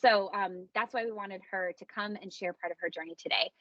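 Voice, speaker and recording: A woman's voice: medium pitch, fairly quick, bright, forceful tone, dry recording, dull audio. A woman hosting a casual podcast interview, speaking in an informal, emphatic and gushing way. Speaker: podcast host.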